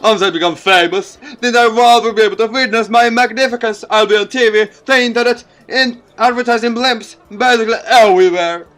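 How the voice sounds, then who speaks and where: A man's voice: extremely high pitch, a little fast, few pauses, fairly bright tone, pitched above the performer's own voice. A man voicing a vain, theatrical young game character who boasts grandly, with music behind. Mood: boastful.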